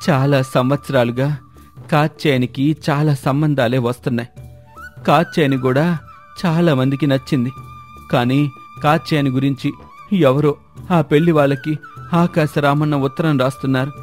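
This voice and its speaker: A man's voice: medium pitch, fairly even intonation, normal speed, pauses often, a little mellow, close to an everyday voice. A sorrowful, helpless father, played by a male actor in a moral story. His mid-range voice is aggrieved and heavy-hearted, over faint background music. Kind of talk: dramatised moral story dialogue.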